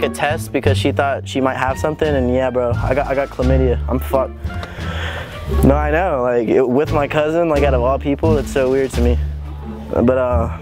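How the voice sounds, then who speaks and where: A young man chats in a slangy, deadpan way, as if on a phone call in a big store. Music plays clearly behind his casual talk. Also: mid-range voice. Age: young adult. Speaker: prank video host. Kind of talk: casual prank chat, staged phone calls. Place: large busy retail store.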